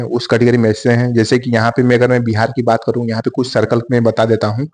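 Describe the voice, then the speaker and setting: A man talks viewers through exam waiting-list updates in a casual explainer style. He often pauses on fillers, restarts his sentences and keeps calling his audience "guys". His voice sits at an ordinary middle pitch. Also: a little mellow. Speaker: exam coaching channel host.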